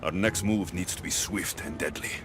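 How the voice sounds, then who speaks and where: A man's voice: low voice, normal speed, natural voice. An actor playing a blunt, determined warrior hero in a video game, earnest and direct in his delivery. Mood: grave, determined. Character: blunt, determined male warrior hero, wary but loyal. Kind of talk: video game cutscene acting. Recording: music behind the voice.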